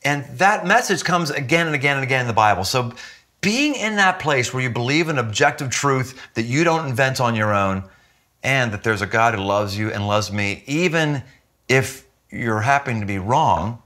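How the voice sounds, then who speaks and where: An emphatic man in his fifties speaks as a pastor in a sit-down interview, his voice bright and forward. He stresses points with sudden lifts in pitch, recorded indoors in a quiet living room. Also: moderate pace. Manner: earnest, emphatic, with lively jumps in pitch when stressing points. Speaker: senior pastor.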